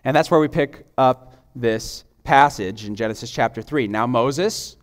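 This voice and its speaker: A man in his thirties preaching a sermon on a church stage, moving from a quiet prayer to emphatic, climbing preaching. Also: mid-range voice, a little slow. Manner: prays softly, then preaches with rising, emphatic intensity. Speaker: pastor. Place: church stage, large auditorium. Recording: long hall reverb.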